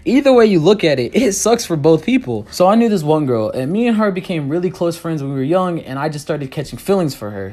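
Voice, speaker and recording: A man telling comedic school stories in an animated storytime, his pitch bouncing all over. He jokes constantly and speaks straight to his viewers. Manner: animated, jokey, addresses viewers directly.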